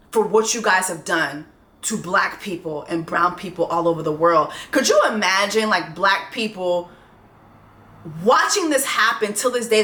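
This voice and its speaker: A woman's voice: mid-range voice, sharp tone. A woman vlogger giving a profane, fired-up, mocking rant about a docuseries. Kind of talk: ranting commentary on a docuseries. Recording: echoey room.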